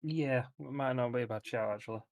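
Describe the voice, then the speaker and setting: A man's voice: mid-range voice, fast talker, gravelly, a little mellow. A British man playing a tabletop game, bantering casually in a blunt, sweary, joking manner. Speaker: player in a tabletop roleplaying game.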